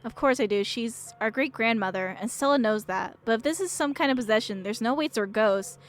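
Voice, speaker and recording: A woman's voice: on the high side, fairly quick, lightly acted. A woman voicing a guarded, snappish young woman who is frightened and curt, in a visual novel. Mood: defensive, pleading.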